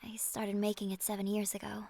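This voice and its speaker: Actress as kindly sea siren, middle pitch, gentle, measured, solemn, fantasy audio drama. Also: female voice, completely flat delivery, creaky, no room sound. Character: kindly ancient siren, a female sea monster. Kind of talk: audio roleplay acting.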